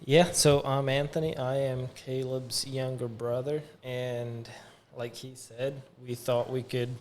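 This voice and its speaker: Faint room noise sits behind a man with an average-pitched voice. He is a business owner talking casually and informally as an interview guest. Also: flat delivery, slow pace, a little mellow. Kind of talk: casual chat.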